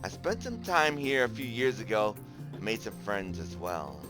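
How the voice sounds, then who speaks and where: Man acting as young game protagonist, low voice, easygoing, bashful, casual hesitant delivery, music behind. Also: big pitch swings, normal speed, fairly bright tone. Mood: musing. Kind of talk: visual novel game voice acting with inner narration.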